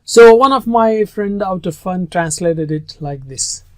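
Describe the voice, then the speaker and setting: A man's voice: sits high, expressive, a little slow, no room sound. Earnest male Arabic teacher, Urdu native. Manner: earnest, emphatic, stresses key words. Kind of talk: recorded lesson lecture.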